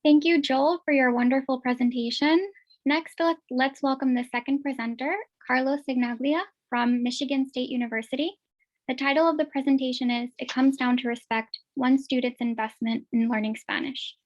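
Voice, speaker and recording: A woman's voice: high voice, steady tone, normal speed, muffled recording. A woman moderating a conference session, formally announcing the next presenter over a video call. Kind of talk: formal introduction.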